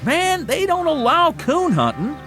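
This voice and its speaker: An actor playing a folksy, coaxing gatekeeper of the afterlife in a vintage TV drama, with a rural Southern American accent. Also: male voice, very high voice, wildly swooping pitch, sharp tone, soft background music, heavily acted. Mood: insistent. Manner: folksy, coaxing, drawn-out phrasing. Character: folksy, wheedling male gatekeeper of a false heaven. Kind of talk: vintage television drama acting.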